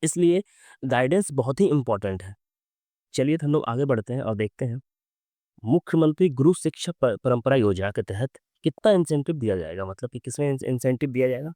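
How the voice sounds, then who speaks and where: A young man from Bihar, teaching exam quiz questions in with a sore, hoarse throat, reading English questions slowly and explaining with many fillers. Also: medium pitch, expressive, dark tone, no room sound, clean studio sound.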